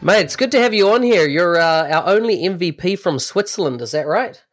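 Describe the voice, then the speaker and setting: A man's voice: high voice, animated, fairly quick, soft background music. A man hosting an interview, asking questions in a friendly, conversational way. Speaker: podcast host. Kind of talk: casual interview.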